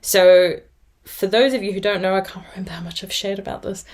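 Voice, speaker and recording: A woman's voice: mid-range voice, steady tone, fairly quick, darkish tone. A woman around thirty, originally from Austria with German as her first language, chatting casually and at length as a knitting podcast host. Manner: rambly, chatty, self-reflective. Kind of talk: casual vlog chat.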